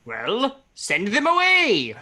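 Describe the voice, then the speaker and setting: Old miser boss, radio drama, piercingly harsh tone, very slow, wildly swinging pitch, irritable. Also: male voice, wildly swooping pitch, bright, forceful tone, full character voice. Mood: irritated, commanding. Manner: barking, drawn-out, irritable. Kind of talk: radio play acting.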